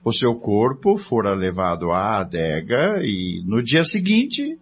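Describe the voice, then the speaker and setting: A Brazilian man delivers scripted dramatic radio narration with animated intonation. The sound is a bit dull and carries a faint hum. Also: on the low side, takes it slowly.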